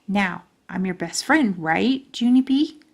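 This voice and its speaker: A woman's voice: mid-range voice, lively intonation, takes it slowly, gravelly, full character voice. A woman voicing a pushy, boastful little schoolgirl who wheedles for favors, in a children's storybook reading. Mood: wheedling.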